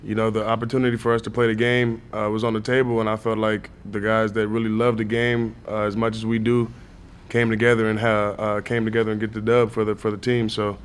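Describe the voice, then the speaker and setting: A man's voice: on the low side, completely flat delivery, fast talker, smooth. A young man, a college athlete, giving casual answers as an interview guest. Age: young adult. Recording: noticeable background noise.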